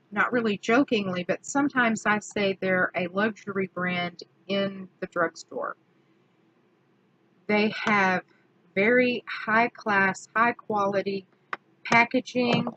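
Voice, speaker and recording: A woman, slightly hoarse from allergies, chatting casually through a makeup product review and correcting herself as she goes. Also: medium pitch, a bit bright, dull audio, slight background noise. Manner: chatty, self-correcting, slow and deliberate. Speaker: beauty vlogger.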